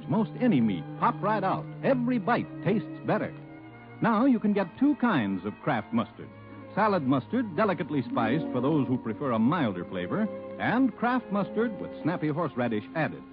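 A man's voice: a little high, huge pitch swings, moderate pace. An American man delivering a scripted 1950s radio advertisement as a commercial announcer. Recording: tinny, band-limited old radio. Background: music.

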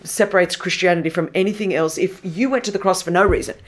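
Woman grew up in australia, greek first language, guest telling her story, lowish voice that shoots way up when she gets going. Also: quick pace. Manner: animated storytelling, voice rising sharply when emphatic. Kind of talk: conversational testimony.

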